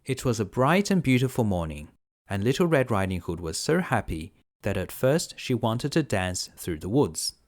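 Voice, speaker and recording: A man narrating a children's fairy tale as a bedtime storyteller, in a measured, simple delivery. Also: on the low side.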